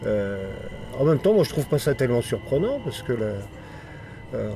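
A man interviewing an author, asking his questions in a halting way while he searches for words. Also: mid-range voice, sing-song, music in the background. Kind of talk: literary interview.

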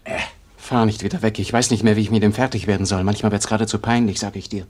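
An actor playing a weary, brooding grown son in a 1950s German radio drama, subdued in manner. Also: male voice, on the low side, steady tone, fast talker, a little mellow, close to an everyday voice.

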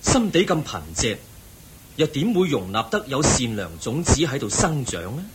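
An actor with a Hong Kong Cantonese accent voicing a calm, patient Buddha who gently teaches. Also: male voice, mid-range voice, normal speed, heavy background noise, close to an everyday voice. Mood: admonishing. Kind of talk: Cantonese radio drama acting.

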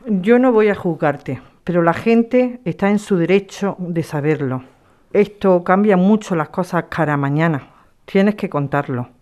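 A woman's voice: fairly low pitch, expressive, a little slow, darkish tone. A young woman playing a frank, insistent neighbour activist in an audio drama, speaking directly. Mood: concerned, firm. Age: young adult. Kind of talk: scripted audio drama acting.

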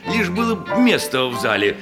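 An actor playing a boastful, jolly hussar nephew in a theatrical verse radio comedy, hearty and blustering, full of exclamations. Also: male voice, on the high side, very animated, normal speed, music in the background. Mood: excited bustle.

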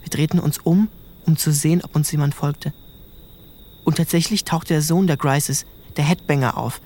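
An actor narrating an audio drama in the first person as a reflective youngest brother. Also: male voice, fairly high pitch, steady tone, moderate pace, a little mellow, a little hiss or hum, natural voice. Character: reflective youngest brother recalling a rough childhood.

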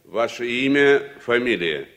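A man's voice: medium pitch, lively intonation, measured pace, a bit bright. An actor in a Soviet radio drama playing a brusque, overbearing congressman, curt and officious as he runs a hearing. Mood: cold, imperious.

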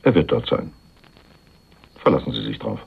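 A man's voice: extremely low pitch, very animated, normal speed, creaky, breathy edge, exaggerated voice. An actor playing a cunning, cynical man who offers himself as a hired killer in a German radio crime drama, sly and self-assured. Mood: confident, scheming. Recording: dull, muffled radio recording.

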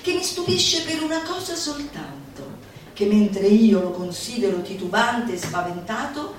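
A woman's voice: mid-range voice, very animated, slight background noise. Theatrical woman reciting in a very echoey space. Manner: declamatory, playful, dramatic pauses. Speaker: solo stage performer. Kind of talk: theatrical recitation of a manifesto with audience asides. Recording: very echoey live hall, distant mic, music behind.

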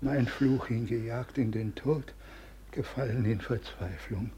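An actor playing a frail, remorseful old father of noble birth in a classic radio drama, feeble, halting and lamenting, on a noisy recording. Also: mid-range voice, warm tone, lightly acted. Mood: anguished.

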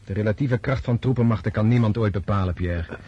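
An actor in a Dutch radio drama playing a disillusioned, resolute Russian officer prince, speaking in a deliberate, scornful way. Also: male voice, fairly low pitch, darkish tone, husky, muffled recording. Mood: bitter, lecturing.

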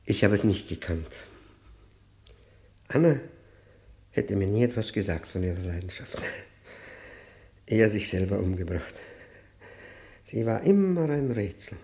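Tormented guy in his forties, radio drama, agitated and brooding, pitch jumps all over. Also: lively intonation, a little slow, pauses often. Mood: anguished. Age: middle-aged. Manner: brooding, swinging between hushed murmurs and outbursts. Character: tormented, agitated man of forty-five confessing guilt to a prosecutor. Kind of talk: German radio play acting.

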